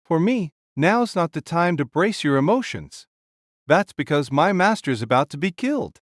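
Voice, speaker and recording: A man's voice: on the high side, big pitch swings, moderate pace, no echo, studio quality. A man playing a big, frank, protective warrior king who sighs and admonishes in an audio drama. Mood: grave, urgent.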